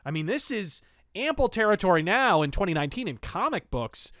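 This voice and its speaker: A man hosting a podcast, giving an opinionated, conversational review in a casual, animated way. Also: sits high, a little fast, dry recording, clean studio sound. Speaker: podcast host reviewing pulp fiction.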